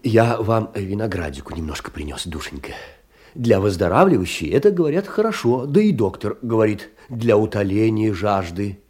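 An actor playing a tender, fussing, humble middle-aged clerk in a Russian literary stage drama, speaking in sentimental endearments. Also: male voice, lowish voice, animated, moderate pace, darkish tone, slightly rough. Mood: gentle, shy.

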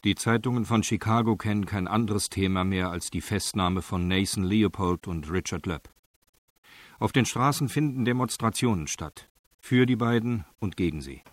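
An actor as the sober narrator of a true-crime radio drama, giving scripted narration in a factual, chronicle-like way. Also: male voice, on the low side, close to an everyday voice.

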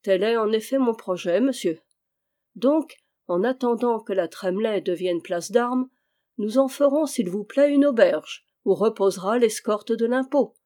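List: reader voicing a cool composed captain, measured delivery, audiobook. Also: mid-range voice, mellow, natural voice. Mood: calm. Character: calm, cool-headed male army captain. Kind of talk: audiobook reading.

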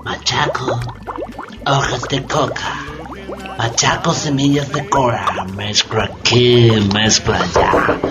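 A man playing an excitable, irritable pharmacist-inventor in a radio drama, muttering and exclaiming in repeated bursts. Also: medium pitch, slow, over music, natural voice. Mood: muttering, absorbed. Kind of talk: theatrical radio drama acting.

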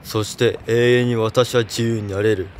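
A man's voice: on the low side, fairly even intonation, measured pace, over music, natural voice. A man playing a vengeful, gloating pirate captain who threatens his foes in a children's storybook cartoon. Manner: menacing, threatening.

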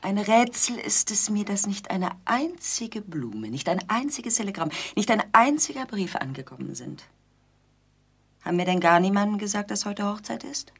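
An actress playing an aggrieved, nagging newlywed bride in an absurdist radio play, theatrical and pointed, with a husky voice. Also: female voice, medium pitch, sing-song, normal speed, exaggerated voice. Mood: puzzled, reproachful. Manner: theatrical, pointed, sardonic repetition.

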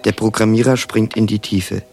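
An actor as a detached narrator, reading stage directions tersely and matter-of-factly in a radio play. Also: male voice, lowish voice, fairly even intonation, normal speed, a little mellow, soft background music, close to an everyday voice. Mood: detached, factual. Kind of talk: scripted narration.

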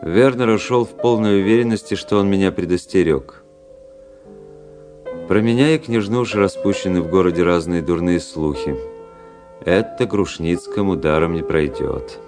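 A proud young aristocratic officer in a radio play. His voice is low, and he speaks with composed, ironic self-assurance. Faint music sits behind him. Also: normal speed. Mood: scornful, brooding. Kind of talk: Russian radio drama acting.

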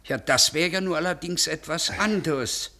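Officious pharmacist for 1950s radio play: forceful, sharp-edged, emphatic, immovable rule follower. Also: male voice, on the high side, normal speed. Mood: stubborn, indignant. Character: rigid, conscientious male pharmacist, stubborn stickler for rules. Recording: vintage 1950s radio recording.

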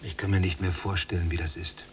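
Male actor as embittered male prisoner, very low voice, gravelly, brooding, radio drama, noisy humming recording. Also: little pitch movement, brisk, close to an everyday voice. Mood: bitter. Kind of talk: German radio drama acting, literary monologue.

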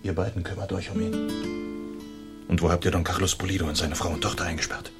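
An actor playing a bold, gallant masked outlaw in a German radio play, speaking in a commanding, courtly way. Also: male voice, low-pitched, fairly even intonation, fast, loud music behind the voice. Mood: commanding, threatening.

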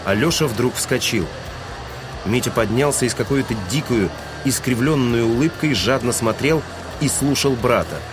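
A man's voice: medium pitch, moderate pace. A man narrating a literary audio drama in a scripted, dramatic storytelling style. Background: music.

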